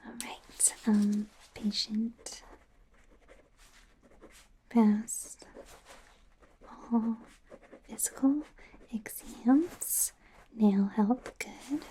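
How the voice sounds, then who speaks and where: A woman playing a friendly, flustered young werewolf doctor in training in an ASMR roleplay, speaking in a soothing, hesitant, breathy whisper. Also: on the high side, very slow, long pauses, dark tone, exaggerated voice. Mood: soft, attentive. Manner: soothing, hesitant, rambling, self-correcting.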